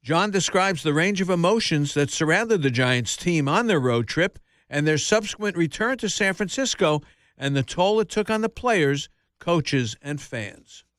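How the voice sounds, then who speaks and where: Male narrator with a notably high voice for scripted sports documentary, recorded very dry. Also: animated, unhurried, a bit bright, no room sound.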